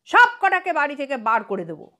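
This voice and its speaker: A proud, blustering father, put on by a woman narrator in a comic storybook tale. He declaims boastfully in a high, animated voice. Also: brisk, full character voice. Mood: angry. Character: jolly, fat, proud middle-aged father, occasionally blustering.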